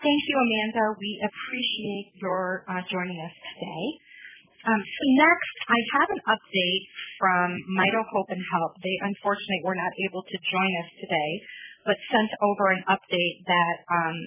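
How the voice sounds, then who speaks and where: A woman's voice: mid-range voice, normal speed. A woman moderating a conference call, politely thanking and introducing the next speakers. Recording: phone-line audio with faint hiss.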